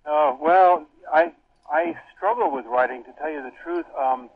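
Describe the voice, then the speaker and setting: A man's voice: on the high side, unhurried, a little hiss or hum. Older man on a tinny phone line. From Europe. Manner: thoughtful, hesitant, many ums. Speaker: seminary professor, guest. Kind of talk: radio interview answers.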